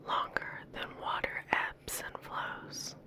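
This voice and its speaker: Slow whispering woman, ASMR reading. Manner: soft, unhurried, gentle delivery with pauses. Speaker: ASMR host reading poetry aloud.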